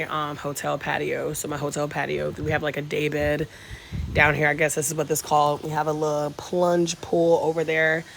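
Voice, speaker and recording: Young woman, Southern accent, casual live-stream chat, phone mic, outdoor patio, faint noise. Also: sits low. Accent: Southern American. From North Carolina, USA. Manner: chatty, greets viewers, Southern slang. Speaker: travel vlogger live-streaming.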